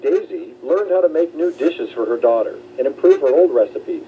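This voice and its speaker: A man's voice: fairly high pitch, lively intonation, moderate pace, dark tone, a little hiss or hum. A man reading a scripted charity narration, heard over a shortwave radio. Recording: tinny distant shortwave radio broadcast.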